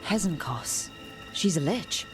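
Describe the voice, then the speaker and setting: An actress playing a curious, plain-spoken adventurer heroine in a fantasy video game, direct and conversational. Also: female voice, fairly low pitch, animated, takes it slowly. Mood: probing. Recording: game music playing behind.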